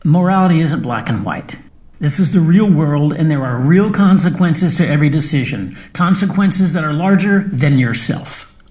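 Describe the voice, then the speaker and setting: A man's voice: on the high side, animated, moderate pace, darkish tone, natural voice. A man acting as a grave, pragmatic scientist admonishing a colleague in an audio drama. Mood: stern, warning. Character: cautious, pragmatic male scientist colleague, stern.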